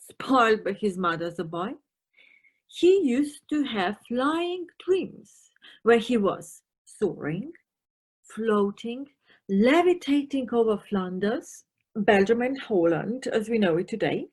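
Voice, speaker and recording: A woman's voice: fairly high pitch, slightly rough, heavily acted. A woman playing an eccentric, playful, officious European policewoman in an audio play, theatrical and teasing, with German phrases thrown in. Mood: musing, storytelling.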